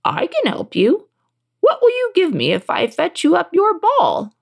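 A woman's voice: very high-pitched, huge pitch swings, measured pace, creaky, darkish tone, pitched above the performer's own voice, exaggerated voice. A woman voicing a small male talking frog from a storybook, eager and insistent, who punctuates speech with ribbits. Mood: coaxing. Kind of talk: storybook character voice.

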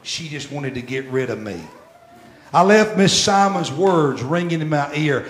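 Older preacher guy in his 60s, slow and emphatic, kinda high voice, echoey church. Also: expressive. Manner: emphatic, repeats phrases and swells loud, then drops very soft. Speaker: pastor. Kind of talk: sermon with storytelling.

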